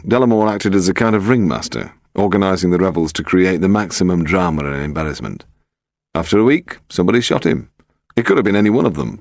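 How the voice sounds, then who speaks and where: A narrator plays a senior British police detective in an audiobook, brusque and sardonic. His gravelly voice is animated and clipped. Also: low voice, no echo, clean studio sound. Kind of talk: audiobook character dialogue.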